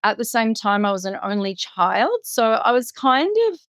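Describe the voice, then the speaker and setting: A woman's voice: fairly high pitch, lively intonation, normal speed, fairly bright tone, no room sound, studio quality. A middle-aged woman from Singapore telling her personal story as an interview guest, articulate and reflective. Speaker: podcast guest.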